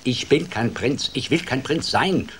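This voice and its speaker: A man voicing a stubborn, furious little frog in a children's radio play, shouting defiantly. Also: medium pitch, animated, unhurried, slight background noise, pitched above the performer's own voice.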